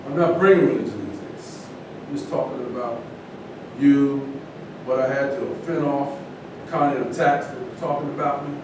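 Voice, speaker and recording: A man's voice: fairly even intonation, normal speed, lightly acted. A man acting in a staged reading as an earnest, defensive ex-athlete in conversational dialogue. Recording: very echoey room, distant, rumbling noise.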